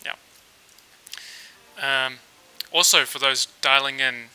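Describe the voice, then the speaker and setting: A man's voice: mid-range voice, drawn-out words, a little hiss or hum, rough recording. A man from New Zealand with a New Zealand accent hosting a casual live coding stream, thinking aloud with plenty of fillers as he works. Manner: relaxed, thinks aloud with fillers while working. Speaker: developer livestream host.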